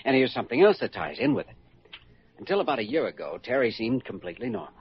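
An actor in an old-time radio drama playing an earnest, caring young doctor, thoughtful and polite. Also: male voice, medium pitch, wildly swooping pitch, fast talker, gravelly, husky, full character voice. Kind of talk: 1940s radio acting. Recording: dull, muffled old radio recording.

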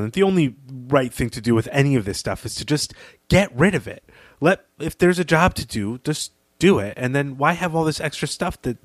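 A man's voice: lowish voice, no echo. A man co-hosting a podcast, talking through literary analysis in a conversational way. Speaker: podcast co-host.

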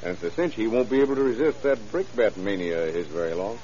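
An actor playing a dogged, earnest police lieutenant with clipped cop slang in a vintage radio crime drama. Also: male voice, lowish voice, expressive, fast, lightly acted. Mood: earnest, determined. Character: dogged, hunch-driven male police lieutenant. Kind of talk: 1930s-40s crime radio drama acting. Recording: muffled old radio recording, faint hiss.

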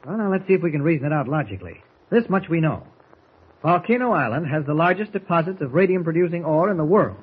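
An actor playing a calm, shrewd reporter hero in 1940s radio serial style, confident and mentor-like as he reasons. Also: a little high, fast, husky, phone-quality sound. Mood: calm, reasoning. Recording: thin, band-limited old radio recording.

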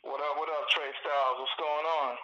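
A man from Cuba, raised in Boston, speaking as a guest musician on a phone call. He talks in a halting way and often repeats his words. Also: on the high side, fairly even intonation, background noise. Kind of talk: casual interview talk. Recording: dull lo-fi phone line.

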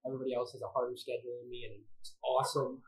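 A man's voice: medium pitch, completely flat delivery, rapid-fire, rough recording. A young man, a student, casually introducing himself in an interview. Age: young adult.

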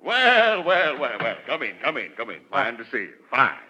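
Forceful, hearty mayor. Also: male voice, medium pitch, wildly swooping pitch, moderate pace, husky, exaggerated voice. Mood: jovial. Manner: effusive, repeats himself. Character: hearty, glad-handing small-town mayor. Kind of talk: 1940s radio comedy acting. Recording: dull vintage 1940s radio recording.

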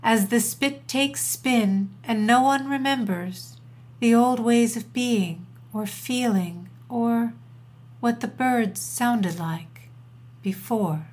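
A woman poet introducing and reciting her own work with deliberate, measured phrasing and pauses. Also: on the high side, a little mellow. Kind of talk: poetry reading with scripted introductions.

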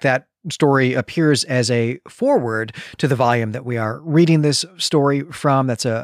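A man's voice: mid-range voice, no room sound, studio quality. An American man hosting a podcast, talking through a literary discussion in a conversational, explanatory and discursive way. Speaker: podcast host.

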